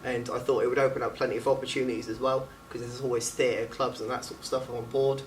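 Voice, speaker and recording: A man talks casually, like a student actor being interviewed. His voice is mid-pitched. It is picked up from some way off, over faint background noise. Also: little pitch movement, fast, far from the mic, rough recording. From England. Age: late teens.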